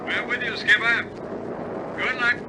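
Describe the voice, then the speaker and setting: A man's voice: extremely high pitch, fairly even intonation, unhurried. An actor playing a loyal, anxious bomber crewman in a war radio drama, reporting earnestly to his skipper. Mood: urgent. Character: loyal male airman on a crippled bomber, dogged, anxious. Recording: loud aircraft engine drone. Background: aircraft engine noise.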